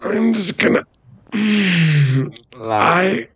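A man's voice: high voice, sing-song, drawn-out words, a bit bright, slightly rough, dry recording, full character voice. A man playing a playful, affectionate, laddish werewolf boyfriend in a casual, teasing ASMR roleplay. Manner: casual, laddish, teasing, drops into murmured asides.

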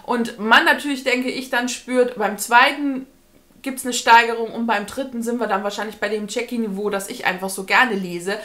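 A woman in her thirties with a high-pitched voice talks as a book vlogger. She speaks casually to the camera, weighing her opinions thoughtfully and with a little hesitation. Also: steady tone, moderate pace, pushed and bright. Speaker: book reviewer, video blogger. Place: indoors, small room with bookshelves.

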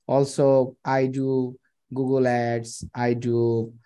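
Bangladeshi man pitching over a headset. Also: little pitch movement, warm tone, clean tone. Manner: halting, many fillers and restarts. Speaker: freelance digital marketer pitching to a client. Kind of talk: video call sales pitch. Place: small room indoors. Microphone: headset on a video call.

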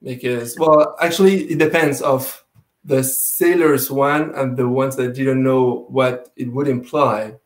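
Young male entrant, halting delivery, echoey room. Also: mid-range voice, steady tone. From Quebec, Canada. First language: French and Spanish. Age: young adult. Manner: hesitant, many fillers and restarts, eager. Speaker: sailing race entrant. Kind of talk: casual video call interview. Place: home room indoors. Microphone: video call. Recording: roomy video call audio.

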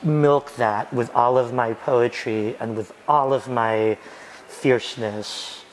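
A man's voice: mid-range voice, takes it slowly, slight background noise, rough recording. A man in his forties, a spoken word poet, talking animatedly and dramatically in a documentary interview. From United States. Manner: animated, dramatic, gesturing expressively. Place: restaurant booth and outdoor graffiti alley.

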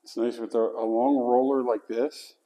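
A man giving casual how-to instructions while he works, talking himself through each step and dropping to quiet mutters. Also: medium pitch, little pitch movement, normal speed. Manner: talks to himself mid-task, drops to mutters. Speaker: flooring installer demonstrating. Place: basement, indoors.

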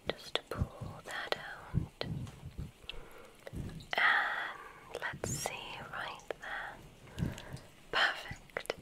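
Slow whispering woman, ASMR witch roleplay. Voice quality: breathy, unvoiced whisper. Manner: gentle, unhurried, soothing, theatrical word choice with soft repetitions. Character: kindly witch medical examiner at a magic school. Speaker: ASMR performer playing a witch doctor.

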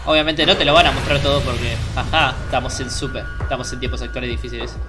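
A man's voice: mid-range voice, steady tone, fast, music in the background. A young man with an Argentine accent and a congested nose, chatting casually and in a rambling way as he hosts a reaction video. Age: young adult. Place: small bedroom at home.

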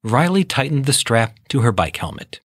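A man narrates a children's read-along story. His voice is husky and recorded close and dry, with expressive, measured storytelling. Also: medium pitch, no room sound.

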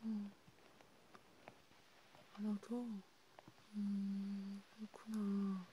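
A woman speaking in a soft, breathy, often whispered murmur, gently and hesitantly, as if chatting one-to-one in an ASMR roleplay. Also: medium pitch, completely flat delivery, very slow, a little hiss or hum, low-quality recording. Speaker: ASMR host.

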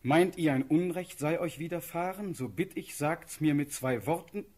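An actor playing a brooding, proud young prince in a classic verse radio drama, with solemn, declamatory delivery. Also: male voice, fairly high pitch, normal speed, darkish tone, lightly acted. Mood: stunned.

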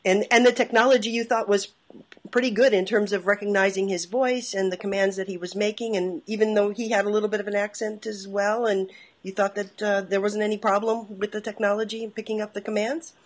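A woman in the interviewer's seat, with a voice at a middle pitch. She asks long, winding questions that pile on clauses before coming to the point. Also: steady tone, a little fast.

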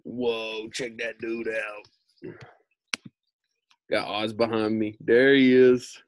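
A man's voice: lowish voice, level tone, extremely slow, a little hiss or hum. An American man in his thirties reading a book aloud in an informal, slangy way with casual asides. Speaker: read-aloud storyteller.

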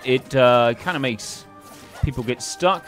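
A man's voice: medium pitch, unhurried, a bit bright. A man hosting a let's play, commenting casually in a hesitant, rambling way. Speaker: gaming video host. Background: faint game music.

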